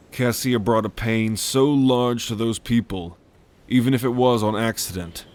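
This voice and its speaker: Guy doing a weary blunt dragon big brother, slow n wry, audio roleplay, protective type. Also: mid-range voice, close to an everyday voice. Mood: bitter, wry. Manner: wry, unhurried storytelling with cursing.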